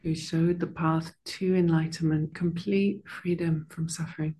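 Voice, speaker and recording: A soft-spoken woman leading a meditation and then chatting with her online class as their teacher, slow and gentle at first, then warm and full of fillers. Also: low-pitched, fairly even intonation, slightly rough, poor audio. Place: monastery room.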